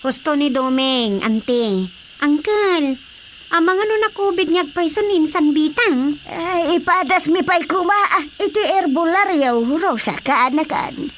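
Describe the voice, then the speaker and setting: A woman's voice: high voice, animated, unhurried, fairly bright tone. An actress playing a worried village woman in a radio drama. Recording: radio drama with faint noise.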